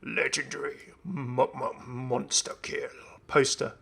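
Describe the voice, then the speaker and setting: Creaky-voiced chatty gaming host, man. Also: medium pitch, little pitch movement, slow pace, a bit bright. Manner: chatty, enthusiastic. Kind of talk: casual gameplay showcase commentary.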